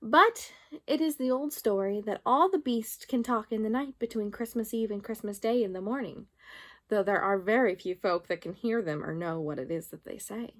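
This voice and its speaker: A woman's voice: mid-range voice, normal speed, a bit bright. A woman reading a children's storybook aloud expressively, drawing out some phrases.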